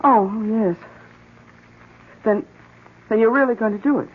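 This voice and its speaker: An actress playing a worried, uneasy wife in a 1970s radio drama, with an expressive, hesitant delivery. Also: female voice, fairly high pitch, measured pace, a little hiss or hum, lightly acted. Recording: muffled old radio broadcast.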